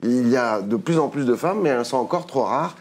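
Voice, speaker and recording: A man hosting a studio interview, speaking in a relaxed, hesitant way with frequent fillers. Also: medium pitch, brisk. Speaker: interviewer, show host.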